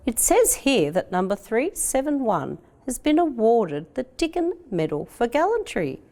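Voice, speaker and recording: Lady reading as a kind aussie dad in a picture book, proud and reassuring, very lively delivery. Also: on the high side, measured pace, pitched above the performer's own voice. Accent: Australian. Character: kindly Australian father of a young boy, reassuring, proud.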